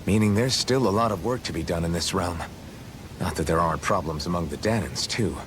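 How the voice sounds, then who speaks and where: A man's voice: low-pitched, brisk, background noise, lightly acted. A man playing a blunt, earnest young hero, once a slave, in a video game cutscene. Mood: concerned. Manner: direct, questioning, plain-spoken.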